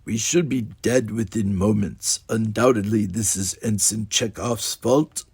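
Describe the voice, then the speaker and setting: A man playing a deadpan starship officer with even, fluid, droning delivery in a dramatized audiobook. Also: fairly low pitch, unhurried, husky, full character voice. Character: even, fluid, droning starship officer mimicking logical alien, sly spirit.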